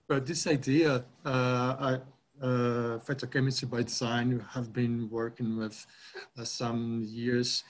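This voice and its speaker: A middle-aged man from Brazil with a Brazilian Portuguese accent, giving a halting academic webinar talk over a video call with many fillers and restarts. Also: medium pitch. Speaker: guest lecturer.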